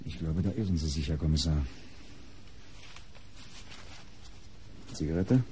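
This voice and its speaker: An actor playing a guarded murder suspect under interrogation in a German radio crime play, answering tersely and evasively. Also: male voice, rumbling low, quick pace, warm tone, a little hiss or hum.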